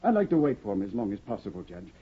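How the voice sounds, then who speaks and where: An actor playing an eager, naive, good-natured scholarly husband in a 1950s radio drama, prim and exclamatory. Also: male voice, lowish voice, sing-song, rapid-fire, slightly rough. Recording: dull vintage 1950 radio recording.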